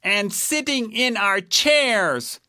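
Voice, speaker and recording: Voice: mid-range voice, animated, drawn-out words, bright, forceful tone, dry recording, studio quality, pitched above the performer's own voice, full character voice. A storyteller voicing an eager, cheeky little boy bear cub in a children's storybook tale. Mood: accusing.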